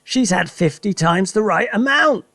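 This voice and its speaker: A gloating, spiteful husband from a children's tale, put on by a man. Raised well above the reader's usual pitch, he crows loudly with lively swings and a gravelly edge. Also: a little slow, dry recording, studio quality, full character voice. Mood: gleeful. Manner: gleeful shouting. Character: gleeful, spiteful middle-aged husband.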